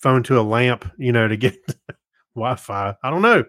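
A man's voice: mid-range voice, lively intonation, normal speed, a bit bright, no echo, clean studio sound. A man co-hosting a podcast in casual chat, explaining things in a laid-back, tentative way. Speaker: podcast co-host.

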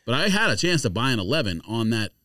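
A man's voice: mid-range voice, very animated, fast talker, sharp tone, no room sound, studio quality. A man co-hosting a casual podcast, bantering argumentatively and often cutting in. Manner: argumentative, interjecting. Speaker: podcast co-host.

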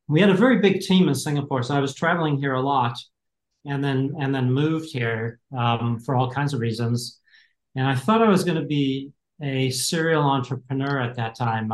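An American man in his fifties talks things through slowly and reflectively as an interview guest, often pausing and restarting. His voice sits at an ordinary middle pitch. The sound carries a noticeable roomy echo. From United States. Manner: reflective, many fillers and restarts. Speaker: guest, executive coach. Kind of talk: casual interview talk.

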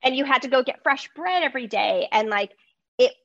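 Greek-native woman, lively storyteller, dry muffled sound. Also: high voice, brisk, dry recording. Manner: animated storytelling, frequent fillers. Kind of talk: casual conversation.

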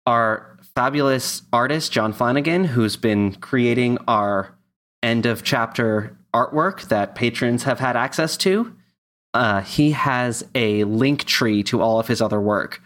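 A man's voice: mid-range voice, unhurried, fairly bright tone. A man co-hosting a podcast, chatting casually and reading out announcements. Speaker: podcast co-host.

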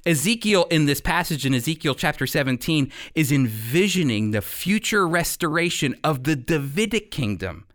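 Man teaching scripture with higher-pitched emphasis. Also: animated, a bit bright. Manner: emphatic, addresses listeners directly, pauses for emphasis. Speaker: Bible teacher on a radio program. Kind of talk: expository sermon teaching.

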